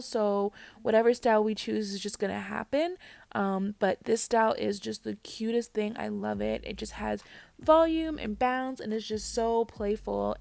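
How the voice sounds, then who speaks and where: A woman narrating a hair tutorial voice-over, chatty and talking straight to her viewers, with a raspy voice. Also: mid-range voice, darkish tone, dry recording. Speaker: parent vlogger. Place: home.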